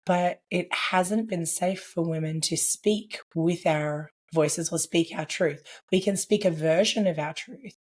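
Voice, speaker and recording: Low-pitched hoarse woman. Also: measured pace, hardly any pauses, a little mellow. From Australia. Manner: earnest, reflective, with frequent "like" and "I guess". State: laryngitis, hoarse. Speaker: podcast guest. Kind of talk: conversational podcast interview.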